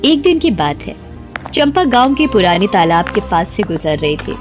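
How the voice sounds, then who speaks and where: A woman's voice: mid-range voice, very animated, normal speed, music in the background. A woman narrating a scripted Hindi story in a dramatic storytelling style.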